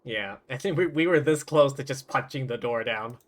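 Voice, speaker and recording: A woman's voice: rumbling low, moderate pace, background noise. A woman livestreaming a game with casual, dry and irreverent commentary.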